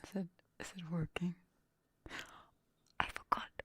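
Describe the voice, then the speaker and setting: A woman doing a casual ASMR livestream in a breathy whisper and hushed stage whisper, flustered and halting, often repeating her words. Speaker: livestreamer hosting an ASMR stream.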